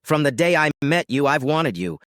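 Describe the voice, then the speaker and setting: Man voicing a distraught, pleading wife, pitched up. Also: very animated, normal speed, fairly bright tone, dry recording, clean studio sound. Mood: tearful, pleading. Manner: stammering, gasping, pleading.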